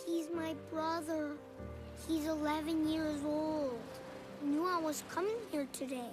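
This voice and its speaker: A performer voicing an excitable, quarrelsome young boy in an animated cartoon. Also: high voice, unhurried, music in the background. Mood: worried.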